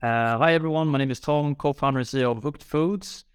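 Male co-founder, very smooth resonant voice, casual and filler-heavy. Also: mid-range voice, quick pace, no echo, clean studio sound. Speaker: company co-founder. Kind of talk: panel self-introduction.

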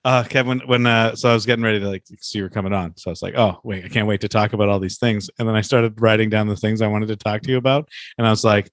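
A man co-hosting a chat podcast, talking excitedly with frequent laughs and fillers. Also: lowish voice, fast, no echo, studio quality. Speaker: podcast co-host. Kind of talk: casual chat.

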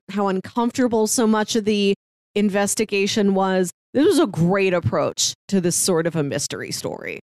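A woman's voice: medium pitch, lively intonation, normal speed, a little mellow, no echo. Woman chatting, close dry recording. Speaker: podcast co-host reviewing an audio drama. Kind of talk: casual discussion.

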